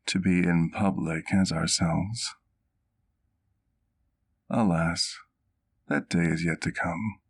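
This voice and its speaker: A man playing a doting, courteous vampire doctor in an intimate ASMR roleplay, with formal, affectionate diction and gentle teasing. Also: deep voice, fairly even intonation, slow pace, vocal fry, long pauses, full character voice. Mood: proud, tender.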